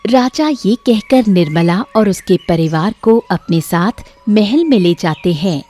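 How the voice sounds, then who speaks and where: A woman's voice: medium pitch, animated, unhurried, dark tone, faint music behind. A woman narrator reading a scripted moral story for children.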